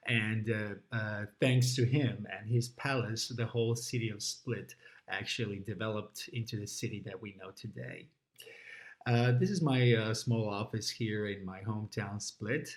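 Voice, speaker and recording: A man's voice: on the low side, a little slow. A man from Croatia with a Croatian accent, giving a recorded lecture to dentists with many fillers and restarts. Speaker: orthodontist lecturer.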